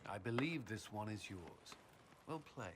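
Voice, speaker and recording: An actor playing an earnest, reserved military commander with dry humour and a measured, formal manner in a video game. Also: male voice, on the low side, animated, noisy background, close to an everyday voice. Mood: relaxed, fond.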